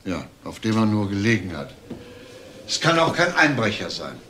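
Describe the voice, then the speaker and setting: Forceful, low-voiced police detective. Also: male voice, sing-song, a little hiss or hum. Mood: analytical. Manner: deliberate, analytical. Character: methodical, probing male police detective. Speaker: actor in a crime drama.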